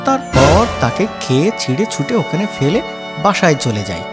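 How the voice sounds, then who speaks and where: A children's story narrator with a mid-pitched, animated delivery. The scripted tale is read with lively intonation, and loud music plays behind the voice. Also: normal speed. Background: background music.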